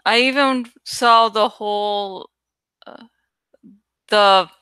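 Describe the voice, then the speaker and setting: A woman rambles casually as she works, thinking out loud with plenty of swearing. Her voice is bright and smooth, recorded close and dry. She sometimes stalls to hunt for the right word. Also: mid-range voice, takes it slowly, no echo. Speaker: online creator and host. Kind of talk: casual rambling chat while working on a graphic.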